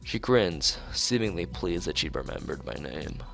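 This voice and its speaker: A man streaming a visual novel, reading its script aloud haltingly, stumbling and repeating phrases, with casual asides. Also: sits low, expressive, creaky, fairly bright tone, soft background music.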